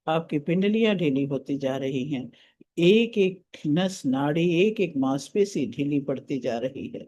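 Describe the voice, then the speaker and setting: A man leading a guided meditation as a teacher, giving soothing, deliberate instructions. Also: on the high side, warm tone. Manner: soothing, deliberate, counting out breaths and steps.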